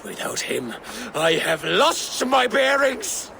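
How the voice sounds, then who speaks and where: A man's voice: very high voice, very animated, slow, gravelly, pushed and bright, slight background noise, full character voice. A man playing a tormented, deranged Viking warrior in a video game, theatrical and erratic. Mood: anguished, pleading. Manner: theatrical, erratic swings from growls to cackles. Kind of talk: dramatic video game acting.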